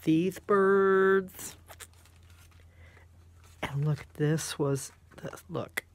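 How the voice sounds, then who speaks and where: Female craft vlogger, low voice, slow rambling delivery, gushing and exclamatory, stuffed-up nose. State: congested, snotty nose. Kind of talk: casual rambling chat while showing craft items.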